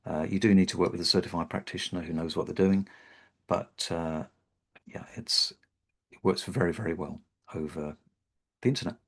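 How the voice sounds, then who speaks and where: A middle-aged British man leading an online webinar, speaking gently and reassuringly, with frequent ums and repeated words. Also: sits low, normal speed, gravelly, long pauses, husky. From Britain.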